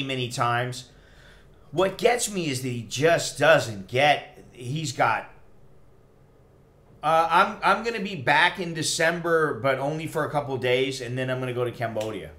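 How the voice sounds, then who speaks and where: A man's voice: mid-range voice, a bit bright. Blunt, tipsy middle-aged American man. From United States. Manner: blunt, profane, opinionated. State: tipsy after a few drinks. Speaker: expat vlogger. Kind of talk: casual rambling livestream chat.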